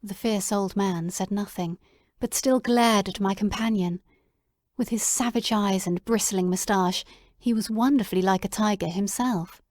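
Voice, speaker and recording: A woman narrating a classic story in a measured, descriptive way. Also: medium pitch, darkish tone, no echo. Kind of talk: audiobook dramatic reading.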